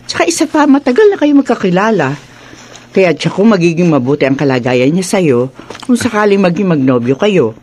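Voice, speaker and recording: A woman's voice: fairly low pitch, sing-song, a little fast. A protective, firm middle-aged mother. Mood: approving. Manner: warm but firm, protective. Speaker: mother character. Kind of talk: radio drama acting.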